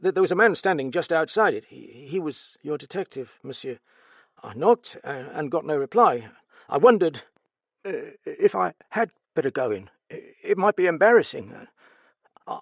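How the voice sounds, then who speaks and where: Casting: a pale, jittery steward who stammers and pleads, voice pitched high and dry, as a male narrator puts him on. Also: vocal fry, no room sound, muffled recording. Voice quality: stammering, frightened. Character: nervous stammering male French servant.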